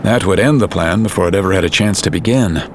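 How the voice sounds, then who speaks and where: Deep-voiced serious male drama narrator. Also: fast talker, slight background noise. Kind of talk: scripted audio drama narration.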